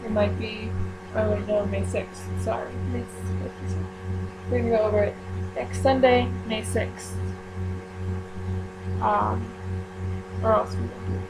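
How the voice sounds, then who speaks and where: A woman chatting casually to her viewers as she runs a book club, rambling with frequent ums and quick self-corrections. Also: mid-range voice, expressive, gravelly, over loud music, rough recording. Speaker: YouTuber running a book club. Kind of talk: casual chat to camera.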